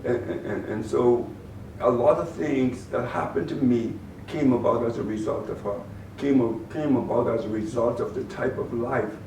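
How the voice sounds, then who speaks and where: A man with a Bahamian accent, a guest in an interview, answering in a measured way and often repeating words as he gathers his thoughts. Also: medium pitch, vocal fry, a little mellow. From Turks and Caicos Islands, raised in the Bahamas. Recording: roomy, distant mic with faint noise.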